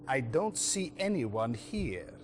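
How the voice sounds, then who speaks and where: An actor playing a cold, calculating male officer in a game cutscene who speaks calmly and with pointed menace. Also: mid-range voice, slow pace, a little hiss or hum. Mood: menacing. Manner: calm, pointed, quietly menacing.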